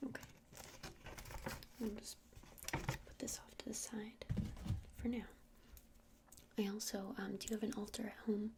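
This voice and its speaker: A woman whispering softly and breathily in an ASMR roleplay as a friendly witch shopkeeper, explaining things in a gentle, hesitant, rambling way. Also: medium pitch, flat delivery, a little slow, long pauses, background noise. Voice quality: breathy unvoiced whisper with creaky edges. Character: friendly witch shopkeeper selling crystals and incense. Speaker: ASMR roleplayer playing a crystal shop witch.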